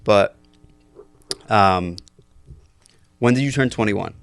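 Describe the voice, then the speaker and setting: A man's voice: on the low side, takes it slowly, clear, resonant tone, no room sound. A young man in his twenties telling stories on a casual podcast, loose and laughing with lots of fillers. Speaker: podcast guest. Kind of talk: casual storytelling chat.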